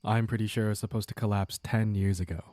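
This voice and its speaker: A man playing a playful, teasing young man in an intimate romantic audio roleplay, with halting banter and mock-dramatic asides. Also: fairly low pitch, fairly even intonation, warm tone, no room sound, lightly acted. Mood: warm, nostalgic. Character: playful, teasing young man sneaking out with his childhood sweetheart.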